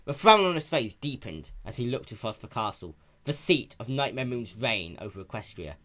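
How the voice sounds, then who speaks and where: A man's voice: medium pitch, very animated, normal speed, a bit bright. A man narrating a piece of fan fiction as a dramatic reading.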